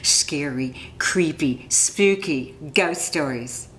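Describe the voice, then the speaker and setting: A woman voicing an eager, excitable young boy full of plans in a storybook, in a room with echo. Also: deep voice, slow pace, pitched below the performer's own voice. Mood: spooky, hushed. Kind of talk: storybook character reading. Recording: roomy, echoing room sound.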